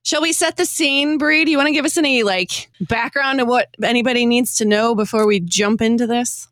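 Woman podcast host, high voice, excitable, casual comedic banter, dry studio sound. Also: a little fast, no echo.

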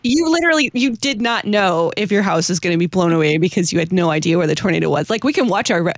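A woman's voice: on the low side, animated, quick pace, no room sound, studio quality. An American woman hosting a casual chat, informal and giggly, who also reads verses aloud. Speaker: host presenting a hymn-writer's story.

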